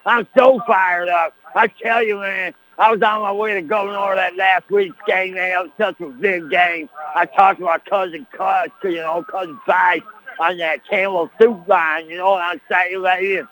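A man's voice: sits high, fairly even intonation, moderate pace, a bit bright, poor audio. A man voicing a boastful rural good-old-boy cousin who rambles folksily on a radio sports broadcast, peppering every phrase with "man" and "baby". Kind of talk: comic character bit on a sports broadcast.

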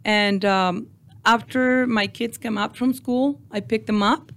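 A woman's voice: a little high, steady tone, a little slow. Mexican lady guest, 40s, spanish first language, lots of ums, studio mic. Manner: hesitant, many fillers and restarts. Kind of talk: casual interview answers. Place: podcast studio.

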